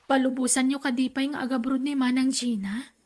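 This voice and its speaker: A woman's voice: high-pitched, flat delivery, a little mellow. A woman acting a hesitant young daughter and sister in an Ilocano radio drama. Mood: timid, hesitant. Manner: hesitant, halting.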